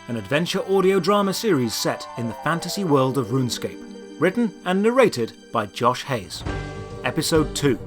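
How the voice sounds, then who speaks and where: A man narrating a scripted fantasy adventure in the first person, with deliberate, theatrical storytelling delivery. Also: fairly high pitch, sing-song, music in the background. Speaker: narrator and writer of the series.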